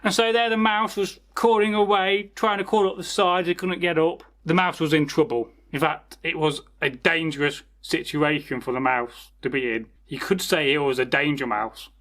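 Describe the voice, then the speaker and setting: High-pitched man telling a folktale. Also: fairly even intonation, normal speed, few pauses, a bit bright. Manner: chatty, rambling, addresses listeners directly. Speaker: storyteller, channel host. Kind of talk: informal folktale storytelling with asides.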